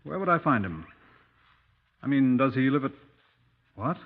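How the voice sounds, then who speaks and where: A British police inspector of 1950s radio drama, brisk and dry-humoured. He speaks at a middling pitch with clipped, courteous, probing delivery. The old broadcast recording is dull and lo-fi. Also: expressive. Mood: brisk, businesslike. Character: brisk, dry-humoured Scotland Yard police inspector narrating his case.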